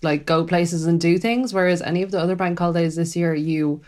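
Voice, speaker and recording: A woman's voice: lowish voice, fairly even intonation, a little fast, a little mellow. A young woman co-hosting a podcast, stuffy with a cold, bubbly and laughing as she chats. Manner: bubbly, laughing, filler-heavy. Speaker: podcast co-host. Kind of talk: casual chat.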